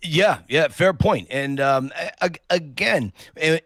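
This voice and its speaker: A man's voice: on the high side, expressive, slow pace, a bit bright, no echo. A man in his fifties, a guest on a casual podcast, bantering playfully and joking around. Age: 50s. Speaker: guest co-host.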